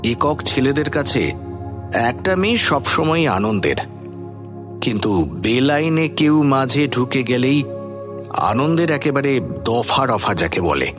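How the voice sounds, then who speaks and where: A man narrating a suspense story for a radio drama as a wry, composed storyteller with expressive delivery. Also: medium pitch, slow pace, pauses often, music in the background.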